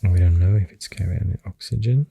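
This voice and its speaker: Swedish accent asmr host, super breathy and hushed, low pitched, halting gentle rambling. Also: no room sound. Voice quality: hushed, breathy, partly whispered. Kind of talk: soft-spoken ASMR, rambling facts.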